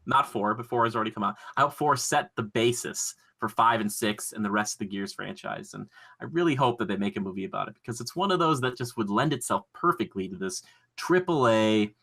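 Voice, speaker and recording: Male podcast host, bright and punchy, excitable, rambling, gushing, conversational. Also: on the low side, fairly quick, creaky. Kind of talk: casual enthusiastic pop culture podcast chat.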